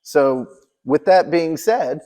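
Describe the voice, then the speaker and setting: A man's voice: a little high, animated, slow, warm tone. A man interviewing a guest in a conversational, promotional style, speaking with effusive enthusiasm as the host. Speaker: interviewer, museum event host.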